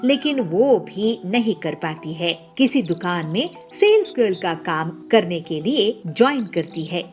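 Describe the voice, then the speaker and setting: A woman telling a children's moral story, with clear, steady narration. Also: on the low side, unhurried, soft background music.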